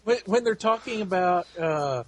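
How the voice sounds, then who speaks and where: Higher-voiced man, hesitant chat. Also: animated, normal speed, dry recording. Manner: hesitant, many fillers, retells scenes. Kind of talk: casual fan chat. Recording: faint background noise.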